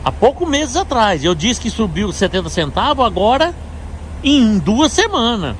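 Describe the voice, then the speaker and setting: Man, truck driver vlog, high voice, phone at arm's length, loud traffic noise outdoors. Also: very animated, normal speed. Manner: indignant, emphatic, talks to viewers as "galera". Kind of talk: casual vlog to camera. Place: outdoors at a truck stop fuel pump.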